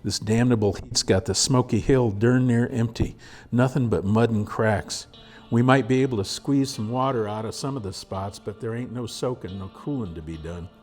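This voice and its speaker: A man doing a gruff frontier scout. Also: mid-range voice, moderate pace, darkish tone, faint music behind, lightly acted. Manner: folksy, gritty, plain-spoken.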